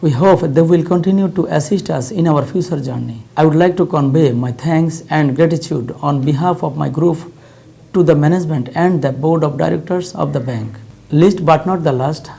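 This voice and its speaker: Creaky, mellow Bangladeshi speaker giving formal speech. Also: medium pitch. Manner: formal, reading from a script. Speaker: company executive giving a speech. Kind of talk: formal prepared corporate speech.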